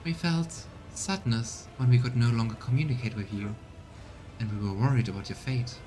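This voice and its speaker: A man voicing an eerie machine hive-mind that speaks through servitors, measured, solemn and detached, in a video game. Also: lightly acted, poor audio. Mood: eerie calm. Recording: low rumbling background noise.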